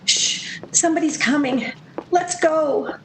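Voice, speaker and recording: Actress as an anxious, high-pitched pleading friend. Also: female voice, slow pace, a bit bright, slight background noise, full character voice, poor audio. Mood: worried, pleading. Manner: pleading, cautious. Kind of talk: 1940s radio drama acting.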